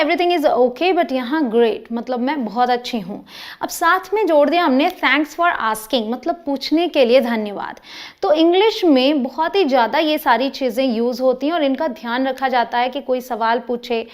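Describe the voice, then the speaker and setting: A woman in her thirties with an Indian accent, teaching spoken English and drawing out each example phrase. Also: high voice. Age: 30s.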